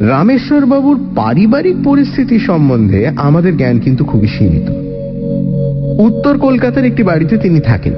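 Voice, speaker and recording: A man narrating a story in the first person, with an expressive, deliberate dramatic delivery. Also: sits high, highs cut off. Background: faint music.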